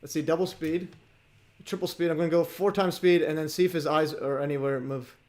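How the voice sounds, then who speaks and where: A man hosting a livestream, chatting casually with many fillers and pauses. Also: fairly high pitch, fairly even intonation, darkish tone. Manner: filler-heavy, chatty, laughs often. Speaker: host.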